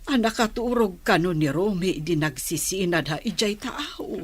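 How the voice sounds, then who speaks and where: A woman with an Ilocano accent playing an anxious, sorrowful older mother in a radio drama, her voice husky. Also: on the low side, lively intonation, normal speed. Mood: worried, doubtful. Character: worried older mother of a missing son.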